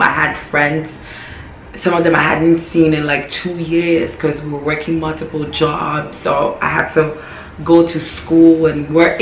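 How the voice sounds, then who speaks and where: A young woman talks to her vlog audience, her voice hoarse and frayed. She is candid and chatty and doubles back on phrases to drive a point home. The sound bounces around an echoey room, with a faint hum of noise underneath. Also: sits low, highs cut off. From Ghana. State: hoarse, voice worn from yelling. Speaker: vlogger. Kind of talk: casual talking-to-camera vlog.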